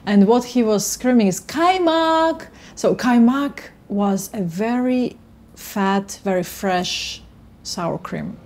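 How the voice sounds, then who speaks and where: Mellow-voiced woman, Russian native. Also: mid-range voice, lively intonation. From Kyrgyzstan. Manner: halting, searching for words. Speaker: guest violinist. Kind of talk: casual chat. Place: home kitchen, indoors.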